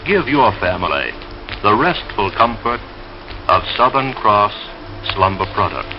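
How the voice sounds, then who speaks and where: A man speaking as a 1930s late-night radio announcer with a slow, soothing, drawn-out delivery. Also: fairly low pitch, very animated, noisy background. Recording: dull vintage radio recording under music. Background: dreamy music.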